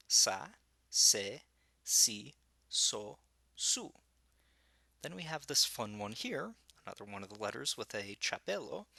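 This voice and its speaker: A man teaching a language lesson, slowly sounding out syllables, with a slight Spanish tinge to his English and many "uh"s and "um"s. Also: fairly low pitch, vocal fry, pushed and bright, no room sound. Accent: English with some Spanish influence. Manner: slow, careful, with frequent "uh" and "um".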